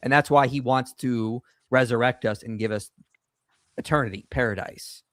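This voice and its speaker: A man's voice: mid-range voice, moderate pace, fairly bright tone, dry recording, studio quality. A man hosting a call-in show, explaining and arguing his points in a confident, emphatic, lecturing way. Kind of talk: apologetics call-in discussion.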